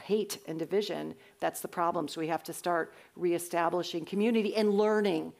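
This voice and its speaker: A woman's voice: lowish voice, steady tone, moderate pace, gravelly, darkish tone. Earnest woman panelist. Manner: earnest, conversational, frequent restarts. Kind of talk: panel discussion answering audience.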